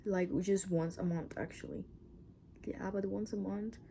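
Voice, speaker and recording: A woman with a Mexican accent talks in a loose, rambling vlog. Her voice is mellow with a gravelly edge, and she sometimes sinks into a whisper. Faint noise hums behind her. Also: mid-range voice, fairly even intonation, a little slow. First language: Spanish. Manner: chatty, rambling, restarts and asides. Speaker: channel host. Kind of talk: casual personal vlog.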